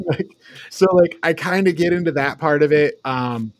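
A man's voice: on the high side, moderate pace. A man talking informally as a panelist in a casual film discussion. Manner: informal, prone to chuckling and swearing. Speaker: podcast panelist reviewing films.

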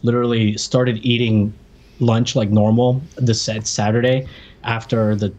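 A man's voice: mid-range voice, flat delivery, measured pace, a little mellow, dull audio. An American man co-hosting a podcast, chatting casually as he tells personal anecdotes and acts out what people said. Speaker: podcast co-host.

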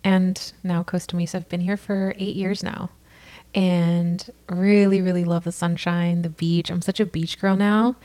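A podcast guest from California speaks in a slightly low voice with sincere, unhurried warmth. Her talk is casual and personal, loosely strung together with "like" and "you know.". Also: little pitch movement. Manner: earnest, frequent "like" and "you know" fillers.

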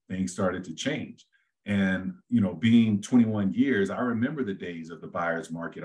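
A middle-aged man, a real estate agent, talking at length and easygoing in a casual interview, with a deep voice he says suits radio. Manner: easygoing, talks at length with many fillers and restarts.

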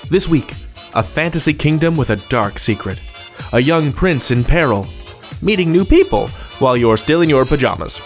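A man's voice: a little high, expressive, soft background music. Male podcast host, literary and rhetorical. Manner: literary, rhetorical, deliberate phrasing. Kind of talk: scripted podcast introduction.

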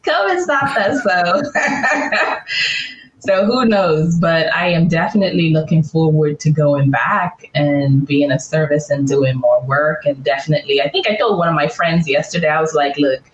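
A woman's voice: low voice, moderate pace. A woman from the Bahamas, a podcast guest, speaking earnestly and building her points in repeated lists. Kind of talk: reflective interview answers. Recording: roomy, dull remote audio.

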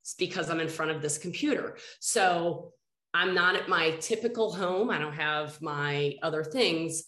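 A woman's voice: low-pitched, unhurried, bright, forceful tone, echoey room. A woman hosting a podcast interview, a little nasal and congested from a head cold, talking earnestly and rambling with restarts. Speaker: podcast host. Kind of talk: casual interview chat.

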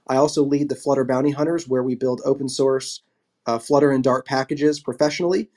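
A man hosting a casual online discussion panel, wrapping up and thanking speakers in a relaxed, rambling way. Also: mid-range voice, a little fast. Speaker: host.

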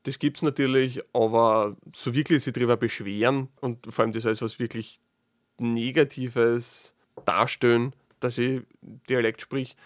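A man's voice: medium pitch, steady tone, measured pace, no room sound. A man speaking in Austrian dialect, hosting a casual solo podcast, thinking aloud with frequent restarts and dry, sarcastic asides. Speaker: podcast host.

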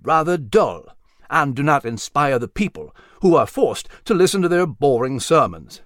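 A man's voice: a little high, big pitch swings, moderate pace, a bit bright, dry recording, clean studio sound. A man voicing an erudite male animal scholar in an audiobook story, explaining history in a precise, proper lecturing manner. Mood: earnest, explaining.

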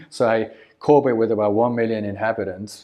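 Un homme d'une soixantaine d'années, voix plutôt grave, japonais. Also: male voice, fairly low pitch, moderate pace, dull audio, a crowd in the background. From Japan. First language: Japanese. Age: 60s. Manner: chatty, laughs often, repeats words. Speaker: guest. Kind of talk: casual conversation.

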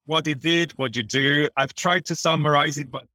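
A man's voice: fairly high pitch, fairly even intonation, normal speed, pushed and bright, no room sound. A man hosting a podcast, interviewing in a conversational style. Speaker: podcast host.

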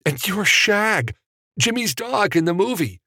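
A man's voice: fairly low pitch, expressive, measured pace, no echo, studio quality. A man voicing a nervous, starstruck film editor in an audiobook, hesitant and apologetic. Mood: flustered, awed. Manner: stammering, apologetic. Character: nervous, starstruck male trailer editor, flustered drifter.

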